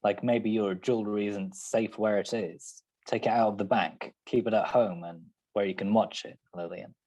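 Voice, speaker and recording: A husky-voiced hard-boiled detective acted by a man from a 1940s radio mystery. He is wry and unflappable, dropping terse, sardonic lines like a tough guy who has seen it all. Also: on the low side, fairly even intonation, normal speed, darkish tone, lightly acted, rough recording. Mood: accusing, cool. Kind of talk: old-time radio detective drama.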